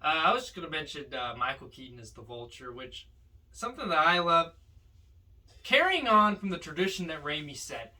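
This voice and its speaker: Male film podcaster, bright forceful tone, casual and enthusiastic, recorded at some distance. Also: a little high, sing-song, a little fast. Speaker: podcast co-host. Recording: roomy, distant from the mic.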